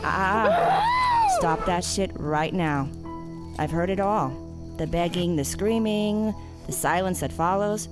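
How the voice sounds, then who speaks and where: A woman acting as a condescending, self-righteous female killer in an audio drama, addressing her captive with theatrical menace. Her mid-pitched voice swings dramatically up and down, with music clearly playing underneath. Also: huge pitch swings, slow. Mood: angry. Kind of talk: audio drama villain monologue.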